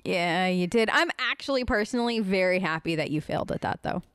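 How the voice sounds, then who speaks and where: A woman's voice: mid-range voice, animated, fairly quick, a bit bright, clean tone, no room sound. A woman doing playful, chatty gameplay commentary, sometimes speaking in character, with a mock-whiny touch. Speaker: gamer doing a role-play let's play.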